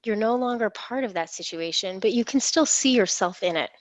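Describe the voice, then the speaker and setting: A woman leading a guided imagery meditation in a soothing, unhurried, reassuring manner. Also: medium pitch, animated, no room sound. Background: gentle instrumental music.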